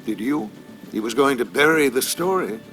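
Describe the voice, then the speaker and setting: An actor playing a smug, menacing elderly businessman in a video game, measured and silky, with theatrical pauses. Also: medium pitch, expressive, over music, natural voice. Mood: coolly threatening. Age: older. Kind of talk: video game dramatic acting.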